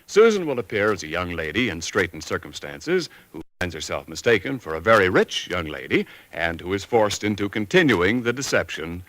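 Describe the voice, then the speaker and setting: Animated, bright American radio announcer. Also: male voice, deep voice, brisk, hardly any pauses, rough recording. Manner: polished, promotional. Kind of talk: 1940s radio sponsor announcing, scripted.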